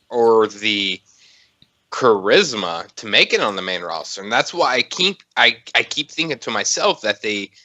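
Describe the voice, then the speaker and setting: Man, podcast co-host, bright forceful voice, dry close sound, opinionated, emphatic, casual. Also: mid-range voice, dry recording. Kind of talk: casual wrestling discussion.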